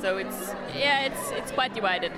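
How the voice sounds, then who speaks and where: Woman, slightly high-pitched for her words' slow pace, hesitant interview answers, loud music and crowd. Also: expressive. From Switzerland. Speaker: coeliac association delegate being interviewed. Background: music, busy crowd.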